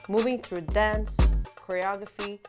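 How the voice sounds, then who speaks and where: Relaxed young woman from Norway. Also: medium pitch, measured pace, music in the background. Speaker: co-host and guest, movement artist. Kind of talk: casual podcast chat.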